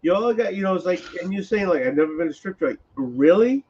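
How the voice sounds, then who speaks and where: A man's voice: sits high, expressive, quick pace, darkish tone, slightly rough, lo-fi sound. A man chatting on a live stream, crude and teasing, swearing freely at his friends. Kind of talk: casual chat on a live stream.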